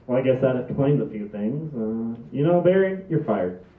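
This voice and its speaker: A man's voice: medium pitch, animated, moderate pace, close to an everyday voice. A man playing a harried, sarcastic son fed up with his family in an amateur script reading. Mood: annoyed. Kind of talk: amateur script table read. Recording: tinny, echoey, distant mic.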